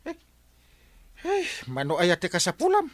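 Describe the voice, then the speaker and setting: Exasperated villager, Ilocano Filipino accent, wildly swooping pitch, dry close sound, comedy drama. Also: male voice, huge pitch swings, moderate pace, no room sound. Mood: reluctant.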